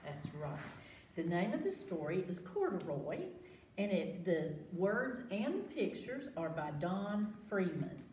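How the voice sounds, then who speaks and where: A woman's voice: lowish voice, big pitch swings, measured pace, a little mellow. A woman hosting a read-aloud storytime for young children, asking questions and repeating words for them. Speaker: library storytime host. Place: echoing room with children present. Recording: roomy, distant mic with background noise.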